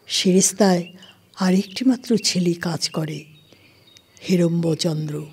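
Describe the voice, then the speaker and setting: A woman reads a literary audio story in an unhurried way. Her voice is breathy and husky at a middle pitch, with measured, formal phrasing. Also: a little mellow. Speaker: narrator. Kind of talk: scripted literary story narration.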